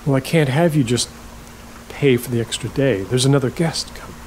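An actor playing a stubborn, halting older man, a reclusive rental host, in an audio drama. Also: mid-range voice, a little mellow, a little hiss or hum, lightly acted. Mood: fussy, stubborn. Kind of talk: naturalistic audio drama acting.

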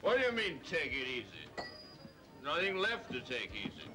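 A man's voice: medium pitch, huge pitch swings, normal speed. A man acting a belligerent, muddled English drunk in a 1940s British film. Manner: petulant, stubborn, repetitive. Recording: old 1940s film soundtrack with music.

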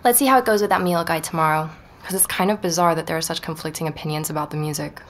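An actress playing an inquisitive, persuasive woman podcaster in an audio drama, narrating and chatting in a measured, natural way. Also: low-pitched, fairly even intonation. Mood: thoughtful. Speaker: podcast narrator and lead character.

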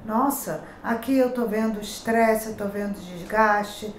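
A woman's voice: mid-range voice, unhurried. A middle-aged Brazilian woman with a Rio accent reading daily horoscopes to camera, warning and advising in a chatty manner, calling out each sign. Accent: Rio de Janeiro Portuguese. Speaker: tarot reader and horoscope host. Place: pink-walled room at a table with cards. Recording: echoey room, faint noise.

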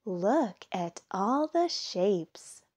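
A woman's voice: medium pitch, very animated, extremely slow, a little mellow, exaggerated voice. A woman voicing a cheerful, playful young female mouse in a storybook, eager and exclaiming. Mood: excited, eager.

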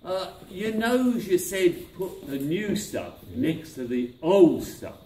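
A dim robber's sidekick, acted by a man in an amateur pantomime, nervous of the boss. His delivery is slow and halting, lively in pitch, and echoes across a hall. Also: full character voice. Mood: hesitant. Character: hesitant, dim male robber henchman in pantomime. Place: village hall, far camera. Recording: distant echoey village hall.

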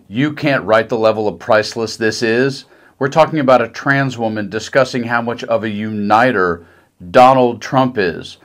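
A middle-aged man giving sarcastic, mocking political commentary in a conversational way. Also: medium pitch. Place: small decorated room indoors.

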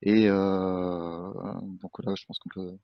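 A young man presenting in a webinar from his office, halting and full of fillers and restarts. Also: deep voice, little pitch movement, clear, resonant tone, dry recording. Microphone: video call. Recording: muffled video call audio.

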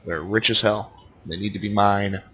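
A man with a stuffed-up, nasal voice giving relaxed, casual commentary while playing a strategy game. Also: lowish voice, normal speed, slightly rough. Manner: laid-back, thinking aloud as he plays. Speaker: let's play commentator.

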